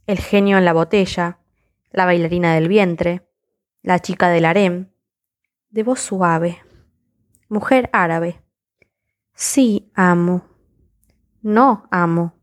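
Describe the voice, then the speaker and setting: A woman's voice: fairly low pitch, slow pace, pauses often. A woman reciting spoken word poetry in a defiant, impassioned, rhythmic delivery.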